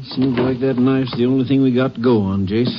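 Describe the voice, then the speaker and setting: Actor as folksy small-town Texas sheriff. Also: male voice, mid-range voice, steady tone, a little fast, background noise, natural voice. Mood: puzzled, reasoning. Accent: rural Southern American. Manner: folksy, drawn-in, colloquial reasoning. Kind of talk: 1950s western radio drama acting. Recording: muffled old radio recording.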